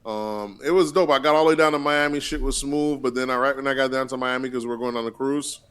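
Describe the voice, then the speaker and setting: A man's voice: medium pitch, fairly even intonation, fast, fairly bright tone, clear, resonant tone. A man co-hosting a podcast in casual chat, joking and swearing loosely, often restarting his sentences. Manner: loose, laughing, swearing banter with frequent restarts. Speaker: podcast co-host.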